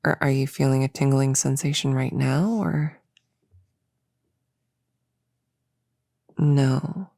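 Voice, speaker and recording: Woman doing flustered young witch asmr, halting and whispery, low voice, super close dry sound. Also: vocal fry, no room sound, heavily acted. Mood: puzzled. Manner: soft-spoken, hesitant, halting, breaking into whispers. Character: flustered young novice witch, earnest and sheepish friend. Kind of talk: ASMR audio roleplay.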